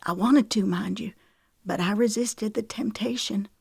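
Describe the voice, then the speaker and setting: A woman narrating a hushed, tearful young woman. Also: mid-range voice, normal speed, gravelly, breathy edge, dry recording, studio quality, pitched above the performer's own voice. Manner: hushed, trembling. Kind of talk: audiobook character voice.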